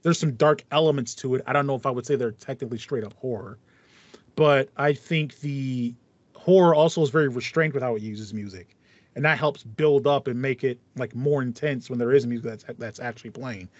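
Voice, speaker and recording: A man's voice: medium pitch, brisk, no room sound. Man chatting, slightly muffled dry sound. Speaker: podcast host. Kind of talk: casual chat. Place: small home room with foam panels, indoors. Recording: dull, lo-fi voice call sound.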